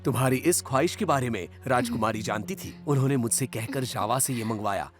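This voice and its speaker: A man's voice: medium pitch, a little fast, soft background music, natural voice. A man playing a courteous, composed nobleman in a Hindi cartoon dub. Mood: kindly.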